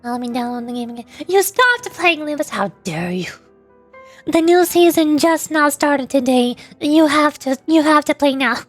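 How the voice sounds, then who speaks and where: A high-pitched woman talking casually on a livestream, rambling from one thought to the next and replying to her viewers as she goes. Faint music plays quietly behind her voice. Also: animated, moderate pace. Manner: rambling, chatty, answers viewers' questions. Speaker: streamer.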